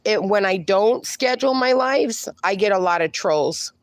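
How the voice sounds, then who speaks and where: A woman's voice: mid-range voice, animated, normal speed, no room sound. A woman hosting a casual livestream, reading viewer comments aloud and answering them in a chatty, reassuring way. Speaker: livestream host.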